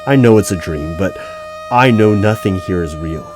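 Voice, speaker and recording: A man playing an unnerved, exasperated hiring manager in a one-sided audio roleplay, with halting speech that repeats words. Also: low-pitched, expressive, music in the background. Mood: exasperated, pleading.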